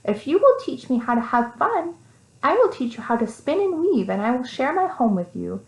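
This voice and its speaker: A woman's voice: high voice, very animated, normal speed, vocal fry, a little mellow, reverberant, pitched above the performer's own voice, exaggerated voice, poor audio. A woman voicing a grouchy, solemn female mouse in a children's story, stern and emphatic. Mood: earnest.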